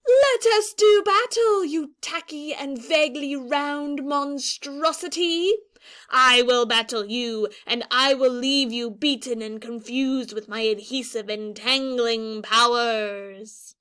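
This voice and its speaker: A high-voiced warrior heroine from a children's storybook, acted out by a woman reading aloud. She is proud and fearless, and she declaims her challenges grandly, hungry for battle. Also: slow pace, fairly bright tone, clean tone. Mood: battle-ready.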